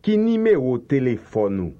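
A man reading slow, carefully enunciated translations in a language drill. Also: mid-range voice, very animated, highs cut off. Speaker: language teacher.

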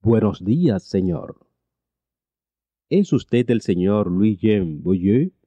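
A man teaching a language lesson, reading each phrase slowly and repeating it twice. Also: lowish voice, animated, warm tone, no room sound, muffled recording. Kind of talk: slow repeated translation drills.

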